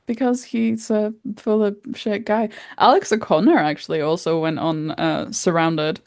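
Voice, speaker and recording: A young woman co-hosting a podcast, telling stories in a rambling, animated way and swearing freely. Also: medium pitch, normal speed, dry recording, clean studio sound. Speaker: podcast co-host. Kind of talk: casual storytelling chat.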